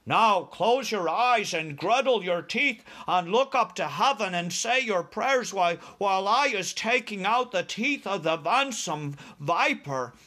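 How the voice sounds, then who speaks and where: A man voicing a kindly, crafty giant with deliberate, commanding delivery and quaint muddled grammar in a storybook. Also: high-pitched, expressive, pushed and bright, voice pushed up, exaggerated voice. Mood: crafty, instructing.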